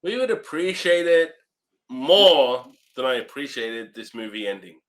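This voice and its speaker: A man's voice: medium pitch, lively intonation, unhurried, fairly bright tone. A man co-hosting a casual movie review chat with a dry, sarcastic manner. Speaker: co-host of a movie review series.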